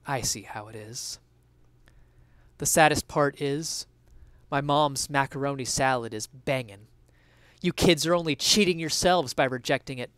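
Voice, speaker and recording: A man's voice: a little high, fairly bright tone, smooth, no room sound. A young man giving a dramatic reading of an essay in a theatrical, mock-indignant, emphatic manner on a headset mic. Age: young adult. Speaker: streamer reading an article aloud. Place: small indoor room at home.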